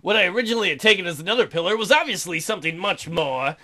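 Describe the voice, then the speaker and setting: A man's voice: high voice, lively intonation, very fast, pushed and bright. A man hosting a let's play, reading a visual novel aloud and breaking in with irreverent, playful, mocking asides.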